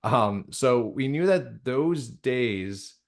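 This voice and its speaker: A man's voice: medium pitch, expressive, very slow. A man with an American accent talking casually as an interview guest, feeling his way through phrases as he thinks aloud. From United States. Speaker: interview guest, radio drama creator.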